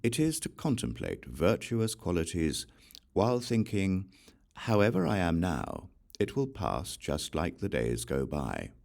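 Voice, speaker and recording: A man with a remarkably deep, gravelly voice reads scripture aloud for an audiobook. His delivery is measured and solemn. His pitch still moves expressively through each phrase. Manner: measured, solemn reading with lively rises and falls. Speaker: audiobook reader.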